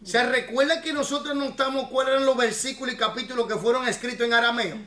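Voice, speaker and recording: A man's voice: extremely high pitch, steady tone, fast talker, fairly bright tone. A man who is a pastor leading a Bible study class, explaining at length and putting questions to his students. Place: church classroom. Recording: echoey room.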